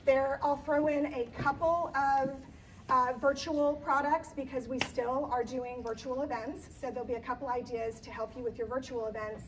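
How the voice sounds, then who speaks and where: A woman event planner, slightly hoarse, giving a chatty live talk in a conference room with many ums and restarts. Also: sits high, fairly even intonation, moderate pace, distant mic, noisy background. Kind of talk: live presentation to an audience.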